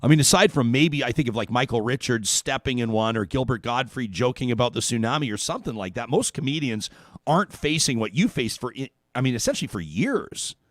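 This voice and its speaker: A man's voice: medium pitch, expressive, fairly quick, dry recording, clean studio sound. A man hosting an interview, asking long, searching questions full of fillers. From Canada. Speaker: radio talk show host. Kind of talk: interview conversation.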